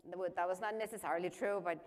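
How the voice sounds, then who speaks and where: Low-voiced Colombian woman panelist. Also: fairly even intonation, very fast. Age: 50s. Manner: candid, self-deprecating, chatty. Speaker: panelist, chamber of commerce president. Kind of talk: personal story on a panel.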